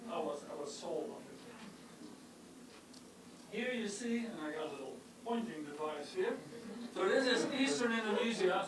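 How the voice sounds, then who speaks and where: An older man with a Dutch accent gives an informal public lecture on his research, with wry, self-deprecating jokes and hesitant fillers. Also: sits high. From Netherlands. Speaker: university geochemistry professor giving a public talk. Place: lecture hall with an audience. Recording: echoey hall, mic far off, audible room noise.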